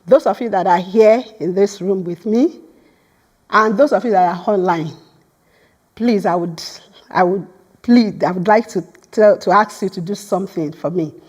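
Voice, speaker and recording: Woman, Yoruba first language, guest lecturer in a hall, earnest and measured, middle pitch. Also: a little mellow. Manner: earnest, repeats words when starting a phrase. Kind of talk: academic lecture.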